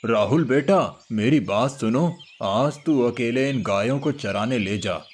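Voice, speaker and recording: A man's voice: mid-range voice, unhurried, slight background noise, close to an everyday voice. A man voicing a patient, fatherly old cowherd grandfather in a cartoon story. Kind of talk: Hindi cartoon moral story dialogue. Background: music.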